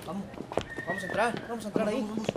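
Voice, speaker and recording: A chatty, excitable young man as an actor plays him talks colloquially in a high voice, repeating himself. Loud outdoor noise with clip-clopping fills this radio drama recording. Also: rapid-fire, lightly acted. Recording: noisy outdoor street sound effects.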